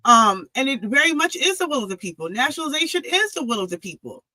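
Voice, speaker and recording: A woman's voice: high-pitched, sing-song, fast, sharp tone, no echo, rough recording. A woman speaking as a political analyst guest on a podcast interview, building her argument analytically with frequent restarts.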